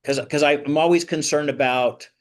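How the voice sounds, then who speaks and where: A man moderating an online group discussion as a coach, talking conversationally and often repeating words in a halting way. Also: a little high, fairly even intonation. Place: online video call.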